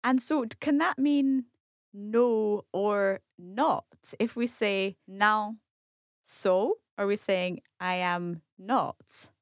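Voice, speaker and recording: Scottish woman, curious learner, asks follow-up questions, repeats phrases, medium pitch, dry studio sound. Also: takes it slowly, no echo. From Scotland. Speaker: language learner co-host. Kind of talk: language lesson dialogue.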